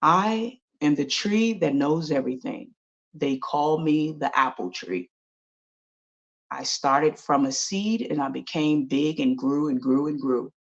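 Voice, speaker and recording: A woman voicing a wise, all-knowing male apple tree spirit in a slow, solemn manner. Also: sits low, expressive, dull audio, voice pushed down, full character voice.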